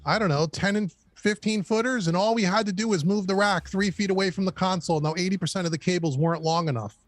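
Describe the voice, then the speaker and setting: Guest, slightly high male voice, dry close sound, wry rambling storyteller. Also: quick pace, dry recording. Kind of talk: casual conversation.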